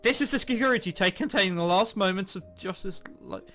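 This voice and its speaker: Male game commentator, bright and high, incredulous and joking, music underneath. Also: fairly quick. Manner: incredulous, jokey exclamations. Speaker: game streamer host. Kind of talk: commentary while reading a visual novel aloud. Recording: music playing behind. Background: game background music.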